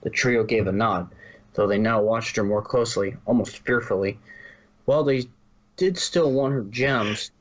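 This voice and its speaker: A man's voice: lowish voice, moderate pace, highs cut off. A man narrating a fan fiction story as a reader.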